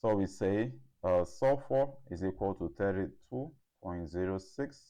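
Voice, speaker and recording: Low-voiced man tutoring step by step. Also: lively intonation, mellow. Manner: deliberate, repeats figures as he works. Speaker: chemistry tutor. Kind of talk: step-by-step worked-problem lesson.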